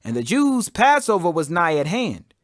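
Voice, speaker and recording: A man's voice: sits high, sing-song, normal speed, no echo, clean studio sound. A man giving a solemn, emphatic, dramatized audiobook reading of the Bible. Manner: solemn, emphatic, raises voice for quoted speech. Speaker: scripture reader.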